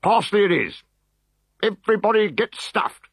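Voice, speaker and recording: A man's voice: high-pitched, animated, moderate pace, creaky, slightly rough, no echo, studio quality, pitched above the performer's own voice, exaggerated voice. A man doing a blustering Roman officer. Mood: bossy. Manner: shouting, exasperated.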